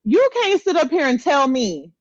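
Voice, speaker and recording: A woman podcast co-host with a very lively, swooping delivery. She talks casually in slang, teasing and hammering her points by repeating them. The sound is dry and slightly dull, like a lo-fi call. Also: sits high, normal speed, no room sound. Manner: emphatic, teasing, repetitive, slangy. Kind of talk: casual animated podcast banter.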